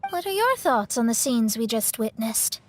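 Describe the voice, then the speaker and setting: A woman's voice: a little high, very animated, moderate pace, slight background noise. A woman playing a proud, dramatic young astrologist in an anime-style video game, haughty and emphatic. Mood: composed, inquisitive.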